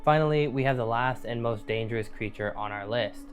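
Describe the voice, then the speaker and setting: A man's voice: medium pitch, a little fast. A man narrating a scripted survival guide in a conversational, explanatory way. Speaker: narrator and host. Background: background music.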